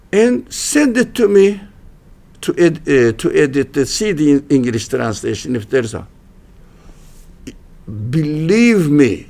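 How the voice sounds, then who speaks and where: A man with a Turkish accent, a native Turkish speaker, giving an informal religious studies lecture in halting English, restarting phrases and making wry jokes at his own expense. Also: a little high, gravelly, darkish tone. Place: lecture room at a university religious center.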